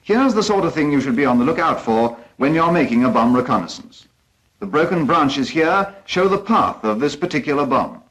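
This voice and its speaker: A man's voice: a little high, big pitch swings, normal speed, fairly bright tone, poor audio. A British man narrating a 1940s instructional film from a script in a formal, precise, instructive manner.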